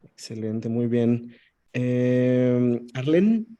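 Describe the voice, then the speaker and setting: A man moderating a video call, calling on each participant in turn with brisk, short prompts. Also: mid-range voice, warm tone, clear, resonant tone, lo-fi sound.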